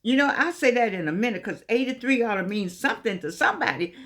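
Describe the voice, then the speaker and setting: A woman's voice: on the high side, expressive, fast talker, fairly bright tone. Folksy, emphatic woman in her eighties. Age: 80s. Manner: folksy, emphatic, repeats herself. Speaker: vlogger. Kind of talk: casual motivational talk.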